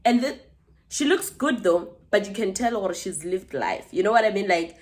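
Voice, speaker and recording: A woman's voice: medium pitch, lively intonation, normal speed. A woman in her twenties with a South African accent, telling a personal story casually to camera as a vlogger, chatty and full of asides to her viewers. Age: 20s.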